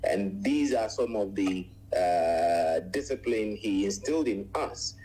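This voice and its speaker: A man in his forties from Ghana, a radio guest telling his life story in a measured way, with many pauses and long lists. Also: on the low side, animated, mellow, poor audio. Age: 40s. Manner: measured, frequent "uh" pauses, lists things at length.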